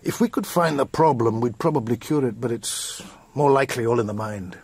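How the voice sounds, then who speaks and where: Dry, shrewd horse expert, middling pitch. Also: male voice, a little fast, darkish tone, husky, no echo. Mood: dry, sceptical. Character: shrewd, dry-witted horse expert, matter-of-fact and sceptical. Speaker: actor in a radio drama. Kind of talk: radio drama acting.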